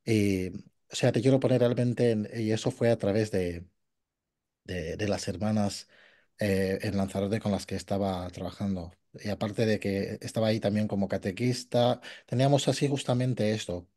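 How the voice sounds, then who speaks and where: A man's voice: lowish voice, steady tone, moderate pace, warm tone. A man speaking at a conference, sharing his experience with hesitant speech full of fillers. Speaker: conference participant. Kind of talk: conversational remarks at a conference.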